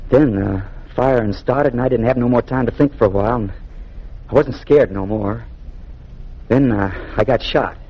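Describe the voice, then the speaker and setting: An actor playing an earnest, humble young Union soldier with an American accent and folksy, hesitant speech in a 1940s-style radio drama. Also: male voice, medium pitch, normal speed, husky, natural voice. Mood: haunted, hushed. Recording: muffled old 1940s radio recording.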